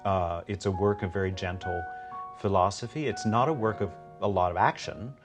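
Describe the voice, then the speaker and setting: A man in his fifties, speaking thoughtfully and haltingly as he searches for words in a documentary interview. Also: sits low, over music. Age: 50s.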